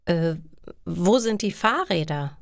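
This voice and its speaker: A woman's voice: fairly low pitch, animated, takes it slowly, creaky, no echo, clean studio sound, full character voice. A woman voicing an eager, polite young school leaver looking for work in a learners' storybook. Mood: unsure.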